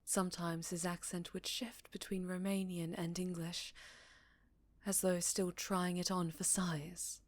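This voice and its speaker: An actress plays a sorrowful, haunted vampire woman with a Southern accent, recounting her tale in an intimate ASMR roleplay. Her voice is lowish and very unhurried, often sinking into breathy whispers. The sound is close and dry. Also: flat delivery, no echo. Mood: haunted, fearful.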